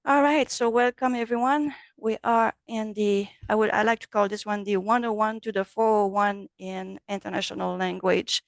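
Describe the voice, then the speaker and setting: A woman with a French accent, a native French speaker, teaching a relaxed online software class over a video call. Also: medium pitch, moderate pace, few pauses, no echo. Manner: friendly, loose, switches between English and French. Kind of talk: casual online class walkthrough.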